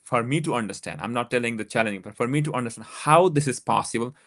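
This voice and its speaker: A man with a Nepali accent hosts a live-streamed talk show, firing off his words at a very fast clip. He hesitates often on uhs and restarts his questions, and his pitch sits in the middle range. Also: fairly bright tone. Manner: halting, with many uhs, switches between languages. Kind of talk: live-streamed interview hosting.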